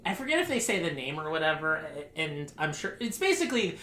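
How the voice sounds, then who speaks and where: A man chatting loosely as a podcast host, his bright, forceful voice carrying through a rambling, sarcastic riff with frequent laughs. The room rings with echo, and there's a faint noise floor under him. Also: on the high side, very animated, brisk. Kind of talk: casual group podcast chat.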